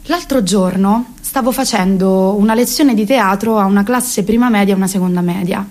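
A woman's voice: mid-range voice, normal speed, darkish tone. A woman in her late twenties with a Roman accent performing a colloquial, sarcastic comic monologue, emphatic and full of rhetorical questions. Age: late 20s.

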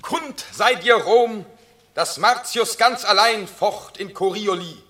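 An actor playing a ceremonial Roman herald, proclaiming formally in a classical radio drama. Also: male voice, high voice, slow pace, pushed and bright, exaggerated voice. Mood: solemn proclamation.

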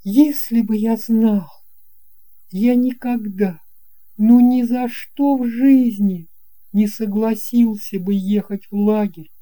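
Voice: mid-range voice, fairly even intonation, slow pace, dark tone, voice pushed up, natural voice. A performer voicing an earnest young boy in a children's audio story, speaking in an emphatic, childlike and insistent way. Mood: upset, regretful. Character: earnest young boy recalling lost friends.

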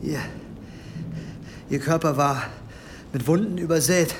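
A man playing a timid, shaken young monk, halting and deferential, in a German audio drama. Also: a little high, vocal fry, noisy background. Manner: halting, deferential, repeats words.

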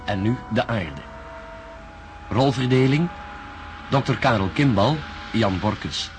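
A man reading radio drama end credits in a formal, deliberate, list-like manner. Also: lowish voice, lively intonation. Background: music.

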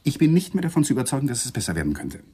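Aufgewühlter Ehemann, stark schwankende Stimme. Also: male voice, medium pitch, wildly swooping pitch, racing, warm tone. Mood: bitter, vehement. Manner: theatrical, swinging from controlled to vehement. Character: exasperated, emotionally torn husband demanding a divorce. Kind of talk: German radio crime drama acting. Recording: 1980s radio play with clear noise.

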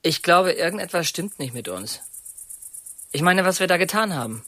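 A man's voice: sits high, lively intonation, normal speed, bright, forceful tone, no echo. Brooding young male ex-convict, radio play, dry close sound. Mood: uneasy. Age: young adult. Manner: brooding, uneasy, sulky.

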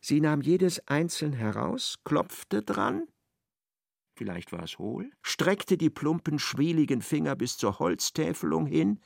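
Guy narrating a radio drama, very animated, kinda gravelly. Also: fairly high pitch, slow, no echo, clean studio sound. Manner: expressive, dramatic storytelling. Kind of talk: scripted radio play narration.

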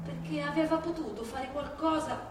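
A woman's voice: sits high, normal speed, slight background noise. A woman reading a scripted story aloud in a theatrical storytelling style. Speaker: stage reader. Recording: echoey hall, distant mic, music behind.

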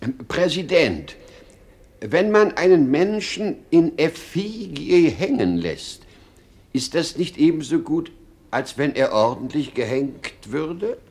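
An actor playing a fussy, childishly muddled king in a radio play, making pompous and halting pronouncements. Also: on the high side, big pitch swings, a little mellow, full character voice. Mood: pompously decisive. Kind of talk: theatrical radio play acting.

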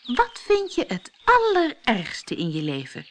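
A woman's voice: on the high side, huge pitch swings, measured pace, fairly bright tone, slight background noise, voice pushed up, full character voice. A woman voicing a sensible, exasperated female hedge in a storybook, with an emphatic manner. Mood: sensible, coaxing. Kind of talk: fairy-tale storybook voice.

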